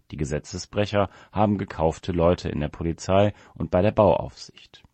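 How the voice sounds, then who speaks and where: A man's voice: low-pitched, darkish tone, no room sound. A man reading a written essay aloud as scripted narration.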